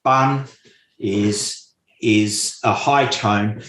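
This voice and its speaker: Male interview guest explaining study methods, slow and measured, stresses points by repeating them; echoey room sound. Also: fairly low pitch, fairly bright tone. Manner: slow, deliberate, repeats key points with hesitant fillers. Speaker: guest expert on memory and mind maps. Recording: roomy echo.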